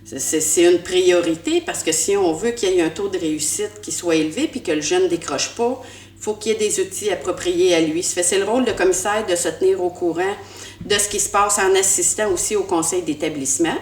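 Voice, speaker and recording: Woman, 50s, Québécois French accent, school board candidate, earnest, halting restarts, roomy echoey recording. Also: lowish voice, darkish tone, a little hiss or hum. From Quebec, Canada. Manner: earnest, repeats words while searching, many "euh" fillers. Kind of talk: campaign interview answers. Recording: echoey room.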